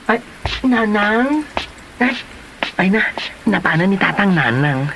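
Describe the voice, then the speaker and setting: High-voiced flamboyant Filipino salon guy played by an actor. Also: big pitch swings, slow, background noise, heavily acted. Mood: cheerful. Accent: Ilocano Filipino. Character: flamboyant effeminate son running a home hair salon. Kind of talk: Ilocano comedy drama acting. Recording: loud music behind the dialogue.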